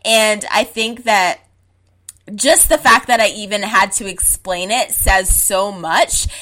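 A young woman from Zimbabwe talks in a casual chat at a mid pitch. She speaks frankly and with emphasis, leaning on "like" as she lines up her points. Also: slow, bright, forceful tone, faint music behind. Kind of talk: casual conversation.

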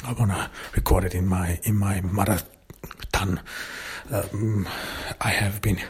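A German-native man talks through his podcast in a very low, husky voice that barely moves in pitch. He stops and restarts often, filling gaps with hesitant sounds as he thinks aloud. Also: steady tone. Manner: halting, many fillers and restarts. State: recovering voice after illness. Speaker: podcast host and author. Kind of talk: casual solo podcast talk.

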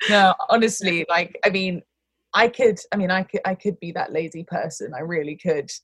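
A woman's voice: fairly low pitch, steady tone, normal speed, no echo. Chatty woman host, rambling with fillers. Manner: rambling, many fillers, playful voices in quoted asides. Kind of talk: casual chat.

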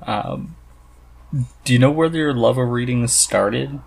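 A man hosting a podcast, chatting casually and haltingly as he thinks aloud. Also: mid-range voice, gravelly. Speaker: podcast host. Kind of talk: casual conversation about reading.

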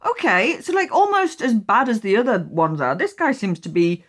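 A woman's voice: a little high, very animated, moderate pace. A woman hosting a podcast, chatting casually in a playful, wry way. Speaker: podcast host. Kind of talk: casual interview chat.

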